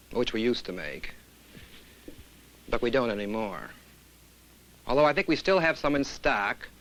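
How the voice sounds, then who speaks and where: A man's voice: medium pitch, a bit bright, rough recording. A man playing an indecisive office boss, dictating in a hesitant, rambling, self-correcting way in a training film.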